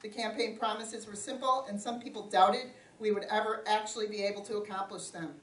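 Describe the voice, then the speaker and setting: A middle-aged woman giving a loud, plainspoken opening statement as a candidate at a community forum. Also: medium pitch, fairly even intonation, fairly quick. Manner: loud, plainspoken, self-joking. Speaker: township supervisor candidate. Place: community meeting room. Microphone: no microphone, picked up from a distance. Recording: roomy, distant, faint room noise.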